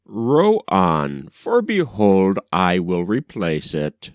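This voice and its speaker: A man's voice: sits low, wildly swooping pitch, very slow, clean tone, no echo, clean studio sound, voice pushed down, exaggerated voice. A man playing a stately, commanding king of ancient Egypt in formal storybook speech.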